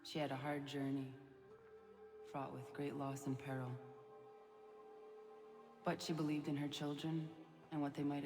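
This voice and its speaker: A calm, reverent guide in a game drama. Her voice is deep and evenly pitched, measured and solemn, ringing in a long echo over music. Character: calm, solemn female guide telling sacred legends. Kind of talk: dramatic video game cutscene acting. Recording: reverberant, echoing space with music.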